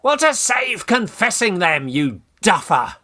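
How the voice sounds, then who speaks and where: Farce role: man playing a bossy British young gent, hot-headed, high-pitched, wildly animated delivery. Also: measured pace, full character voice. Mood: indignant. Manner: emphatic, blustering. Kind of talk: Victorian stage farce, radio play acting.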